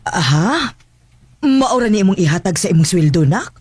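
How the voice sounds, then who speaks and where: A woman's voice: medium pitch, sing-song, normal speed, natural voice. An actress playing a kindly, accepting middle-aged mother with a Filipino Cebuano accent, in a radio drama. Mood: gentle, accepting. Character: kindly, accepting working-class mother of a grown son. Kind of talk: Bisaya radio drama acting. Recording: faint noise and ambience. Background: faint ambient noise.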